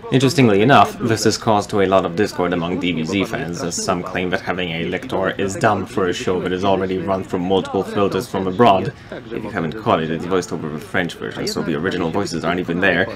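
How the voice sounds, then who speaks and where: A man's voice: low voice, fairly even intonation, quick pace, crowd noise. Wry young man. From Poland. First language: Polish. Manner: dry, wry, explanatory. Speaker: video essayist. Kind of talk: scripted narration.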